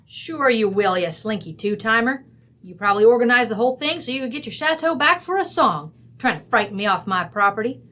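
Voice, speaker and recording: An actress as a brash matron from a radio play. Her voice is bright and forceful and sits fairly high. She talks in blunt slang and scolds like someone who will take no nonsense. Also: expressive, brisk. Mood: combative, scornful. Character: brash, tough-talking American mother, a feisty chateau owner. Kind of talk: old-time radio drama acting.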